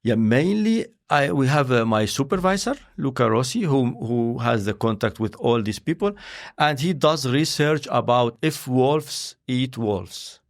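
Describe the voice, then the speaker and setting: Interview guest, male, non-native English, gravelly, halting and full of fillers. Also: medium pitch, animated. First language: not English. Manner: halting, searching for words with many fillers. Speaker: interview guest, scientist and filmmaker. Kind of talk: conversational interview.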